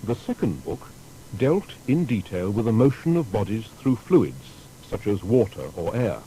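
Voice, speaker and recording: Man, scripted biography narration, lowish pitch, very mellow timbre, formal storytelling, slight background noise. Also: big pitch swings, rough recording. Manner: formal, storytelling delivery with deliberate emphasis. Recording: faint background noise with rumble.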